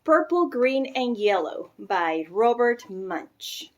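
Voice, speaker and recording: A woman reading a children's story aloud with expressive narration. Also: on the high side, takes it slowly. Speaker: storybook reader. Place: barn.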